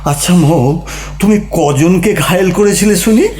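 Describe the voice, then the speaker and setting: High voiced husband played by a man winding up his wife, mocking and stagey, echoey with music behind. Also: measured pace, darkish tone, slight background noise. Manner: mocking, theatrical, exaggerated. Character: teasing, argumentative husband needling his wife. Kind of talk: Bengali comic audio play dialogue.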